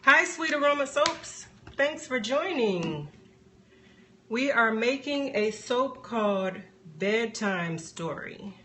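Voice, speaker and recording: Woman chatting on a livestream while making stuff, takes her time, thinking out loud, some noise behind her. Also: a little high, lively intonation. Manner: unhurried, thinking aloud while working. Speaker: soap maker hosting a live stream. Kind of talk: casual chat while demonstrating a craft. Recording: background noise, live phone stream.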